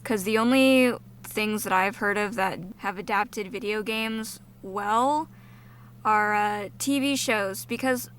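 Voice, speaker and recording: A woman's voice: medium pitch, slow, fairly bright tone, smooth. A woman co-hosting a casual podcast, sardonically acting out the lines of characters she is recounting. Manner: acts out quoted reactions, sardonic. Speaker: podcast co-host.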